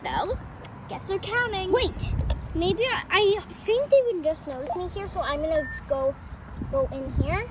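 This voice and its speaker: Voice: very high voice, a little slow. A child hosting an improvised doll pretend-play video, speaking as the toys while playing outdoors. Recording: loud noise with clicks and rustling.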